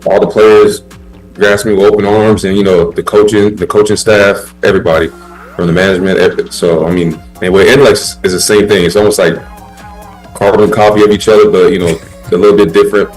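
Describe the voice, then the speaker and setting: Deep-voiced laid-back man. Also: a little fast, soft background music, rough recording. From Haiti. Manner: relaxed, rambling, laid-back with many fillers. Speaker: basketball player guest. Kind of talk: casual interview chat.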